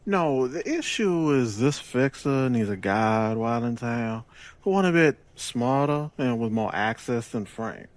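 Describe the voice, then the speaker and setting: Male actor as smooth mob boss, theatrical, lively swooping intonation, mid-pitched, persuasive, dry close sound. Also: no room sound, natural voice. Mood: smug, businesslike. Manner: expansive, deliberate, self-assured persuasion. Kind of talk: audio drama acting.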